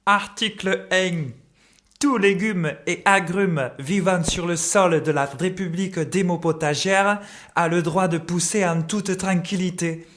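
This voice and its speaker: A man narrating an animated show for children in a mock-formal storytelling manner. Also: fairly high pitch. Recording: roomy echo, music behind. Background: music.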